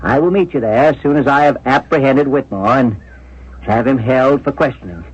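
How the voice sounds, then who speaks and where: An actor playing a grave, considerate police inspector in a 1970s radio drama, speaking in a measured, deliberate, official way. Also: male voice, mid-range voice, slightly rough, natural voice. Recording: muffled vintage radio broadcast.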